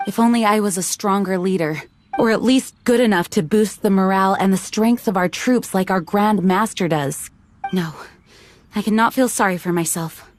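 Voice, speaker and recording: A woman's voice: medium pitch, moderate pace, slight background noise, natural voice. A woman voicing a dutiful young female knight leader in an anime-style game, formal, composed and sincere. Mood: self-reproachful.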